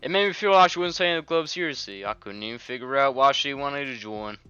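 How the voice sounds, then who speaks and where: Earnest, self-blaming game schoolgirl, read by a man: fretful, bright tone, slightly muffled recording. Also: medium pitch, expressive, fast talker, smooth, no room sound, soft background music. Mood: upset. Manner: fretful, hesitant. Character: earnest, self-critical schoolgirl club president. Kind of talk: video game fan voice-over.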